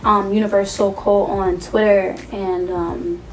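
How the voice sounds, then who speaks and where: A woman's voice: medium pitch, level tone, a little slow, soft background music. A woman from New York, a guest musician, who speaks emphatically and a little preachily in a talk-show conversation. She repeats herself and fills her speech with fillers. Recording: distant mic with faint noise.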